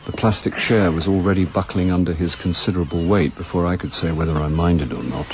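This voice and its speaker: A middle-aged English man, a rueful amateur sleuth in a radio mystery. His voice is deep, and he talks drily and a little hesitantly, always ready with a self-mocking or flirtatious aside. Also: quick pace, very noisy. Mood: droll narration. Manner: dry, droll, hesitant. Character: wry, self-deprecating, flirtatious male actor-turned-sleuth. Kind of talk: radio drama dialogue and first-person narration.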